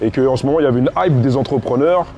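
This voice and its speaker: A man interviewing someone in casual chat, with informal, slangy and halting phrasing. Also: mid-range voice, a little mellow. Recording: ambient background noise.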